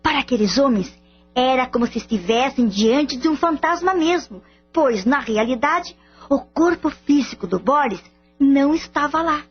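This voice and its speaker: An actress as an earnest, caring girlfriend with a very high voice. She speaks in radio drama style, with faint music and a dull, lo-fi sound. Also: animated, moderate pace. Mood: earnest explaining.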